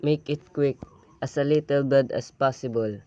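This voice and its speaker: A man's voice: mid-range voice, moderate pace, a little mellow, close to an everyday voice. A man playing a cold-blooded crime boss in an amateur radio play, giving instructions in a businesslike way. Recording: dull, lo-fi audio.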